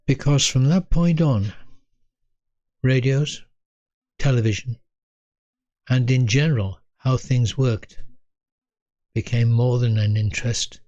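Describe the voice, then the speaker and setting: Slow-talking English man in his eighties. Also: mid-range voice, warm tone, no room sound, dull audio. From North East England. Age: 80s. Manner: unhurried, measured, pausing between short phrases. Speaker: storyteller, host of own video series. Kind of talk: reflective autobiographical storytelling to camera.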